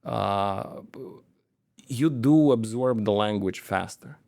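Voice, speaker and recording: A man's voice: on the low side, takes it slowly, creaky, darkish tone, no echo, clean studio sound. A man, a company manager telling stories in an interview, hesitant, with many fillers and restarts. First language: Romanian. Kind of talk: conversational interview storytelling.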